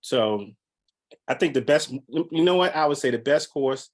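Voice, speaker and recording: A man's voice: medium pitch, lively intonation, moderate pace. A man from the Bahamas, a realtor and podcast guest, talking casually in an upbeat, rambling way with many fillers. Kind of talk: casual interview talk.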